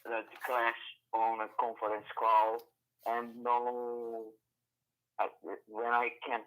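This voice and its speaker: A man whose first language is Portuguese, a student on a conference call, speaking English haltingly and searching for words. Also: mid-range voice, monotone, fairly bright tone, poor audio. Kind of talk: speaking up in class.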